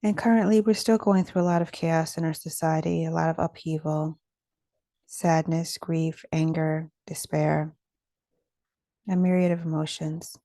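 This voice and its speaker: A woman leading a guided meditation in a gentle, soothing, measured way, with pauses between phrases. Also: low-pitched, fairly even intonation, no room sound, clean studio sound.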